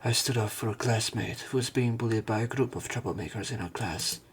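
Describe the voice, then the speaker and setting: A man's voice: on the low side, brisk, slight background noise. A man narrating a visual novel as a let's player, reading the story and lines aloud.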